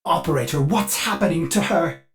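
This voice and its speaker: A man voicing an anxious, servile male ship computer in a video game, formal and halting, with synthetic processing. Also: on the high side, a bit bright. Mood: polite, helpful. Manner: formal and obsequious, with halting stammers. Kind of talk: scripted video game character acting.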